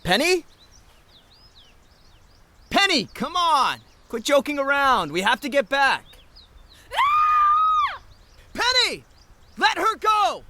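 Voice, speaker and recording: A man's voice: very high-pitched, sing-song, slow pace, pauses often, raised voice, very noisy, full character voice. A man playing an earnest, devoted young student in an audio drama. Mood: angry alarm. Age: young adult.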